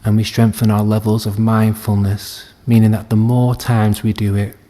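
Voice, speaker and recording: A man leading a guided meditation as a teacher, speaking gently and soothingly with long unhurried pauses. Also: on the low side, flat delivery. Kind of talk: guided body scan meditation.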